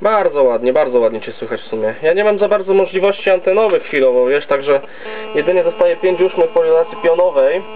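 A man with a fairly high voice talks over a thin, phone-like radio channel. He is chatty and loose, making casual contact as a radio operator. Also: animated, brisk. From Poland. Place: home base station. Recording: narrow, tinny CB radio audio.

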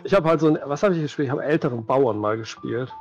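A man's voice: medium pitch, quick pace, a little mellow, muffled recording. A middle-aged man chatting casually and reflectively as an interview guest on a video call, often hedging as he thinks. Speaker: interview guest, tabletop game master. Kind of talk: casual chat. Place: home room, indoors, on a video call. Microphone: headset. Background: faint music.

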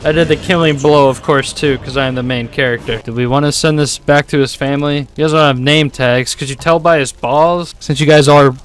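A man's voice: fairly high pitch, steady tone, brisk, few pauses, smooth. A young man giving irreverent, sweary comedic game commentary into a close desktop mic, reacting aloud. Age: young adult. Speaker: gaming YouTuber. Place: small room at home. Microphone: desktop microphone up close.

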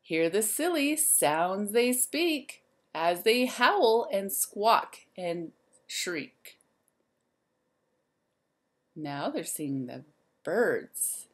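A woman in her thirties reads a children's picture book aloud. Her mid-pitched voice moves very freely up and down, sing-song and expressive. She takes her time and talks directly to young listeners as a teacher would. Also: drawn-out words, long pauses.

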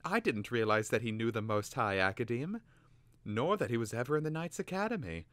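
A man's voice: medium pitch, sing-song, brisk, a bit bright, dry recording, pitched above the performer's own voice. A man voicing an eager young boy hero in a storybook. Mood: earnest.

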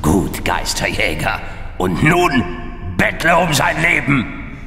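Slow, creaky, gloating demon lord. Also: male voice, very animated, bright, forceful tone, full character voice. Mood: menacing. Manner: slow, mockingly courteous, sneering. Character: gloating, cruel male demon lord, mocking and theatrical. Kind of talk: horror radio drama acting. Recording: long booming reverb, music underneath.

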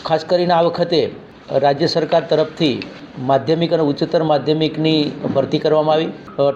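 A man's voice: fairly high pitch, a little mellow. A man speaking as an education official, giving an informational statement in repetitive, official phrasing. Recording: dull, lo-fi with faint background noise.

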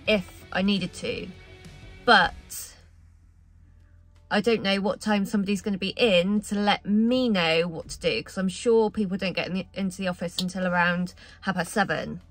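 A woman's voice: medium pitch, normal speed, fairly bright tone, dry recording, faint music behind. A woman in her thirties, a truck driver, chatting casually to a close camera from her parked cab and thinking aloud. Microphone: camera close in front, handheld or dash-mounted.

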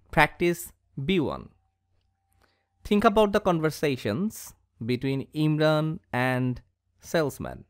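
Man, teacher, lively pitch, measured classroom delivery. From Bangladesh. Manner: slow, deliberate teaching voice that reads dialogue aloud. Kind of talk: scripted English lesson for schoolchildren. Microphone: voice-over over slides.

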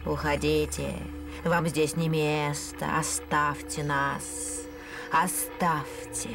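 A woman voicing a stern, hostile forest spirit in a cartoon dub, with slow, drawn-out commands. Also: low-pitched, flat delivery, over music. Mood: cold warning. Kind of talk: cartoon dubbing.

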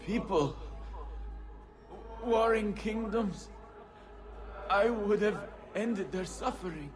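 A bitter dying fanatic in a video game, high strained voice, really slow and grand. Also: male voice, music in the background. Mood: anguished. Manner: slow, labored, declamatory. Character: dying zealot man with a cause, bitter and grandiose. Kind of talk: dramatic video game acting.

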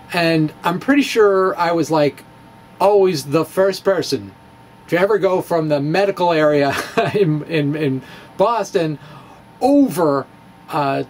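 A middle-aged man with a fairly high voice speaks slowly and haltingly, in a wry, conversational vlog style. He is close to a microphone in a small room, with some faint noise behind him. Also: darkish tone. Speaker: amateur physicist and video blogger. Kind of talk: dramatic reading of a personal blog, loosely conversational. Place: small indoor room with bookshelves. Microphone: handheld-style dynamic mic on a stand, close up.

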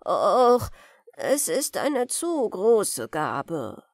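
Lady doing an old beggar from a fairy tale, slow and hesitant, very humble. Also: medium pitch, wildly swooping pitch, no echo, clean studio sound, exaggerated voice. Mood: humbly grateful. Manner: hesitant, drawn-out, deferential. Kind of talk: fairy-tale audiobook character.

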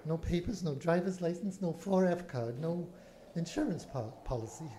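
An actor playing an innocent, very old apprentice angel in a radio play, gentle and literal-minded as he explains things. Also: fairly high pitch, animated, normal speed, a little hiss or hum, natural voice. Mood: gently explaining.

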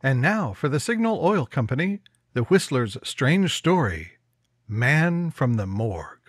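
Man as a booming old-time radio announcer. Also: sing-song, measured pace. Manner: booming, formal announcer delivery. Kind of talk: 1950s radio sponsor announcement.

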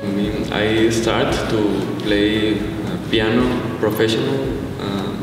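A young man from Mexico, a piano student, answering in a hesitant way with many pauses and restarts. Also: on the low side, fairly even intonation. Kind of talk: casual interview answers. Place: large bright studio room, indoors. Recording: echoey room heard from a distance, music behind.

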